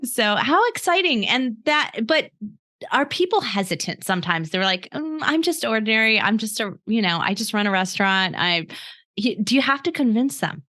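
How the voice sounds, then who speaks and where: A woman's voice: fairly high pitch, animated, normal speed, bright, forceful tone. A woman hosting a podcast interview, upbeat and encouraging, laughing easily as she asks questions. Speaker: podcast host. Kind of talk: casual interview chat.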